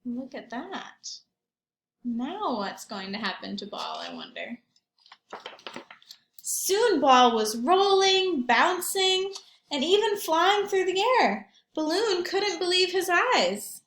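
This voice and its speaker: A woman reading a storybook aloud to young children like a teacher, expressively, pausing for simple questions and comments. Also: very high-pitched, sing-song, fairly bright tone, slight background noise. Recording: roomy echo.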